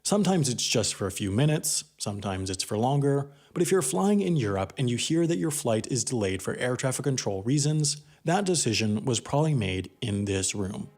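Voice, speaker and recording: A man's voice: mid-range voice, very animated, a little fast, few pauses, a little mellow. A man delivering scripted narration for an explainer documentary.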